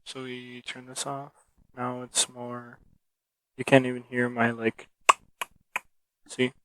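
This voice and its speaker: A man's voice: mid-range voice, monotone, slow, dry recording, poor audio. A man casually rambling through a review of a cheap audio mixer, thinking aloud as he goes, speaking into a cheap microphone. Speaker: product reviewer. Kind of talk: casual unscripted product review. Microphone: cheap mixer-connected microphone.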